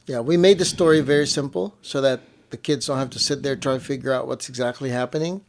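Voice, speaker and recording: Medium-pitched man explaining casually. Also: fast talker, a little hiss or hum. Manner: informal, repeats and rephrases to clarify. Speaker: show co-host.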